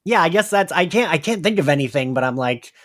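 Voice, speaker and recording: Man, podcast host, very lively intonation, casual chat, giggly and self-deprecating. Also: a little high, fast talker.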